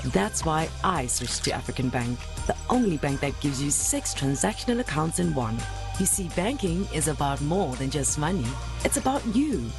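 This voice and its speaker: A woman's voice: sits low, sing-song, moderate pace. A woman reading a scripted, upbeat bank advertisement as a voice-over. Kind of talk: scripted ad read. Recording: ad audio over music. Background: music.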